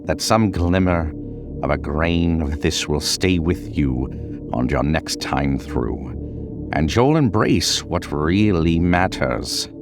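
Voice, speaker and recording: A man voices a cryptic old-world Count in a dramatic audio story. He is extremely low and slow, grave and full of disdain. Music plays behind his measured, condescending words. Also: lively intonation, heavily acted. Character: cryptic, disdainful male Count, an ancient guide delivering hard truths.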